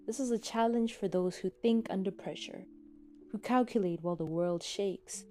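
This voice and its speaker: A woman narrates a piece of fiction in a scripted, second-person style. Her voice has a mellow, dark tone at a middling pitch. Quiet music plays underneath the reading. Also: normal speed. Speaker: podfic narrator. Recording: soft music bed behind reading. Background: soft background music.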